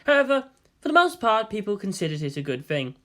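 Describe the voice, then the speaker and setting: A man reading fiction aloud as scripted narration, in an expressive storytelling style. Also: high-pitched, quick pace.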